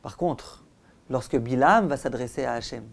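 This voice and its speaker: A man giving a religious lecture as a rabbi, didactic and emphatic, telling stories in deliberate short phrases and posing rhetorical questions. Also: medium pitch, vocal fry. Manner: rhetorical questions, emphatic repetition, acts out dialogue. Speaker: rabbi giving a Torah class.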